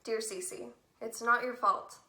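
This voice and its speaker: A young woman playing a wry, tender teenage girl in a stage drama of spoken letters, with a slight creak in her voice. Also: a little high, fairly even intonation, slow pace, pushed and bright, slight background noise, heavily acted. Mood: quiet, sad, tender.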